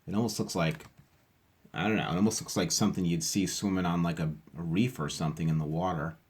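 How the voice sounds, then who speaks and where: A man hosting a read-aloud for children, speaking in chatty, expressive asides straight to his young listeners. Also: sits low, quick pace, slight background noise.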